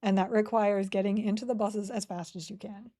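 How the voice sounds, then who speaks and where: Woman, game streamer, casual live chat, mellow warm voice, giggly, rambling, desk mic. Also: mid-range voice, steady tone, a little fast. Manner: chatty, rambling, giggly, reads chat aloud.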